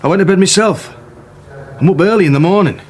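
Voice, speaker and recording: A man's voice: high voice, fast talker, a little hiss or hum, natural voice. An actor playing a cocky, crude male suspect answering police questions defensively. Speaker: suspect under interview. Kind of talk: radio drama acting.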